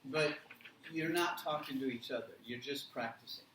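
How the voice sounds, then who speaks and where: A man presenting an interactive workshop for teachers, speaking haltingly and emphatically with frequent pauses and check questions. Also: mid-range voice. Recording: roomy, distant mic, faint noise.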